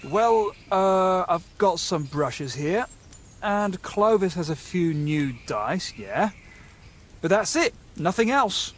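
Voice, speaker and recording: An actor playing a nervous, gullible young medieval bandit in a game, with a hesitant, stammering, excitable way of talking. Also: male voice, high-pitched, slow pace, a little hiss or hum, heavily acted. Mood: reluctant, defensive. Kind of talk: video game dialogue acting.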